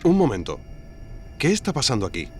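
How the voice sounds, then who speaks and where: A man's voice: mid-range voice, huge pitch swings, brisk, soft background music. A man narrating a scripted documentary-style story, wryly addressing the listener directly. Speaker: narrator and host.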